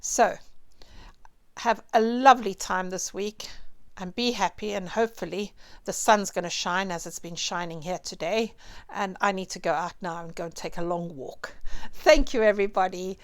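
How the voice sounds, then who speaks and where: A woman's voice: medium pitch, normal speed. A woman closing her vlog in a friendly way, apologizing for her pronunciation and thanking viewers. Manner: friendly and apologetic, with light laughs. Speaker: vlog host. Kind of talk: vlog sign-off.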